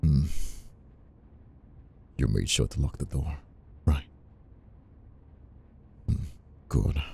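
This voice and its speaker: A man playing a flirtatious, dominant boss in an intimate ASMR roleplay, murmuring slowly and breathily with a deep, gravelly voice. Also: darkish tone, heavily acted. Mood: seductive, commanding. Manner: slow, breathy murmuring with sighs. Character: flirtatious, conflicted male boss, dominant and teasing. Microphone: close ASMR microphone.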